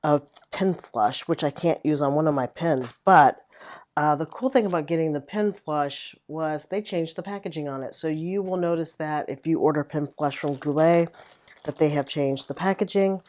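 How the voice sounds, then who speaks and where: Low-pitched woman vlogger, kinda raspy today, chatty with lots of ums. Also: normal speed, a little mellow. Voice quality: chatty, rambling with many fillers. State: slightly raspy voice. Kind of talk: casual chat.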